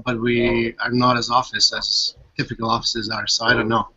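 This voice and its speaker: A man architect chatting casually on a video call, upbeat with quick asides. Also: mid-range voice, level tone, bright, forceful tone, breathy edge. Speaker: architect, interviewee. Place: open-plan office. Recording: muffled video-call audio.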